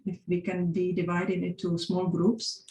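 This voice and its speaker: Czech-accented female presenter, halting, monotone delivery, faint room hum behind. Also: fairly low pitch, reverberant, poor audio. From Czech Republic. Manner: hesitant, frequent restarts and fillers. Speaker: workshop presenter. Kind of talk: presenting a talk with slides.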